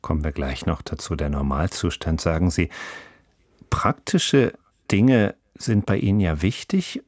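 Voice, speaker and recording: A man with a very low voice hosts the interview, speaking in a measured, probing way. He sums up before each question. The sound is dry and close to the mic. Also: a little mellow, dry recording. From Germany. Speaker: radio interviewer, host.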